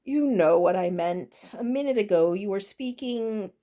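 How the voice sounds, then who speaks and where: A woman's voice: mid-range voice, lively intonation, a little slow, warm tone, lightly acted. A woman voicing a kindly, awkward male castle master who speaks hesitantly and reassuringly in a fantasy story. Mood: puzzled.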